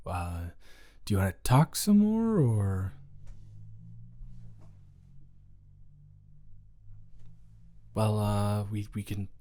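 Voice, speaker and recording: A man's voice: on the low side, darkish tone. A young man playing a shy, good-natured college dormmate in a romantic audio roleplay, stammering and rambling hesitantly. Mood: hesitant, sleepy. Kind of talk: intimate romantic audio roleplay, one-sided conversation.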